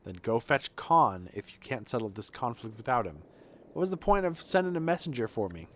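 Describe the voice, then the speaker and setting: A man voicing a weary, reproachful doctor in a video game, speaking in a measured, stern way. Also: mid-range voice, big pitch swings, a bit bright, voice pushed down. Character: weary, reproachful male doctor, adult, reasoning with children. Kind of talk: video game dialogue read aloud.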